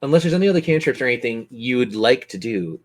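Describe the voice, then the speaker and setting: A man's voice: mid-range voice, animated, quick pace. A man acting as game master, narrating and bantering in a theatrical, courteous way.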